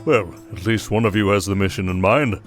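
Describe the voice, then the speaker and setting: A man performs a stern aide who plays the exasperated elder brother. His lowish voice is dry, clipped and prim, full of disapproval. Music sits behind him in this fantasy audio drama. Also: brisk, natural voice. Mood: dry, formal. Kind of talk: scripted fantasy audio roleplay.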